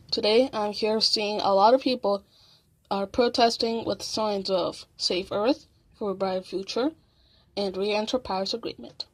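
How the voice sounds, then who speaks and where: A woman's voice: medium pitch, a little mellow, lightly acted, rough recording. A woman playing an anxious young male field correspondent, hesitant and informal in a mock live report. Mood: earnest.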